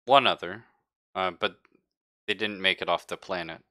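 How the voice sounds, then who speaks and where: A man playing a calm, formal ship's android officer in a tabletop sci-fi game, speaking with measured regret. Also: sits low. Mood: measured, regretful.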